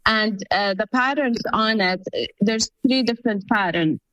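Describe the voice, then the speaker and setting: A woman's voice: mid-range voice, normal speed, fairly bright tone, dry recording, muffled recording. Earnest woman explaining, dry close sound. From Palestinian family background. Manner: earnest and explanatory, with many fillers. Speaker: guest. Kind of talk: conversational interview answers.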